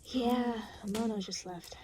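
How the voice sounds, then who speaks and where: A woman's voice: fairly high pitch, takes it slowly, warm tone, a little hiss or hum, rough recording. A woman playing an aloof, exasperated demon in an audio roleplay, talking to the listener in a dry, sarcastic, weary way. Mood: wry, defensive. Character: aloof, exasperated demon, reluctantly babysitting a new soul.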